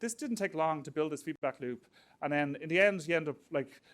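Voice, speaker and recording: A man's voice: fairly high pitch, a little fast, a bit bright. A man speaking at a tech meetup in an informal, conversational way, hesitating often and peppering his sentences with filler words. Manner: conversational, hesitant with frequent "like" and "you know". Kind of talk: informal technical conference talk.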